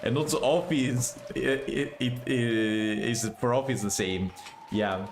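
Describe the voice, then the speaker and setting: A young man with a strong Italian accent chats loosely with viewers on a game stream. His pitch is middling and his phrases stall and restart as he works out the English. A steady hiss of background noise runs under the home mic. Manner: halting, restarts while finding words. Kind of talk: casual game stream chat.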